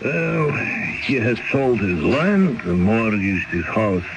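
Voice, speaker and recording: An actor playing a kindly old customs chief in an old-time radio drama, musing and sympathetic. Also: male voice, on the low side, big pitch swings, takes it slowly, full character voice, low-quality recording. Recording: vintage radio recording with music.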